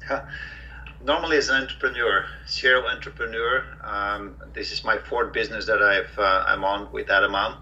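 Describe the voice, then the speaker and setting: A man's voice: fairly low pitch, fairly even intonation, normal speed, sharp tone, muffled recording. A man in his forties from Bulgaria, a guest entrepreneur who talks reflectively in an interview with many ums and uhs. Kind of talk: conversational interview.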